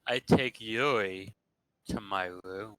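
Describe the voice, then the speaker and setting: Bright, playful male narrator. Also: lowish voice, slow pace, dry recording, rough recording. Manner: playful, dropping jokey asides. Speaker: narrator in a staged reading. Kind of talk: first-person narration read aloud.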